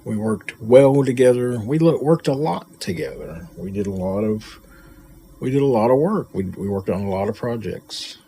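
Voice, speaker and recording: A man giving a personal spoken tribute to a friend, halting and reflective, repeating himself as he goes. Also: mid-range voice, creaky, dark tone, slightly rough. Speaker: friend and colleague paying tribute. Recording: noticeable background noise.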